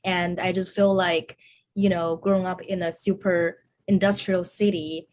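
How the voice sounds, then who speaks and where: A woman's voice: lowish voice, flat delivery, a little slow, darkish tone. A woman from China, a screenwriter and actress, talking in an upbeat, casual way with frequent "you know". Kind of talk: casual video interview.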